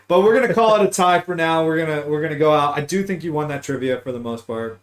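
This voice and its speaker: A man's voice: a little high, fast. A man hosting a casual gaming talk show, chatting informally with plenty of slang and swearing. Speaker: podcast host.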